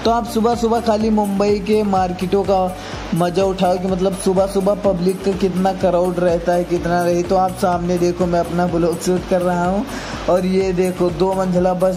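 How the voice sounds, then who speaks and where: A man's voice: high voice, flat delivery, moderate pace, music in the background. Chatty young man vlogging on city streets. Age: young adult. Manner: chatty, addresses viewers as bhai and yaar. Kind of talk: casual vlog narration. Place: outdoors on city streets and at a railway station at dawn. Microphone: phone held at arm's length.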